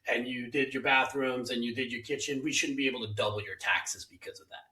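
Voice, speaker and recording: A man hosts a casual interview and shares his views at length. His voice is bright and forceful. The room around him is echoey. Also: medium pitch, fairly even intonation, brisk, far from the mic. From Hungary. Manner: opinionated, talks at length. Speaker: host.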